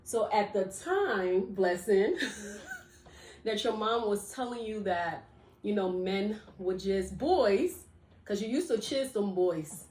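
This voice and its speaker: A woman from Liberia co-hosting a casual chat. She is animated, laughs often and repeats words as she starts her sentences. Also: mid-range voice, slow, reverberant, a little hiss or hum. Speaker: co-host.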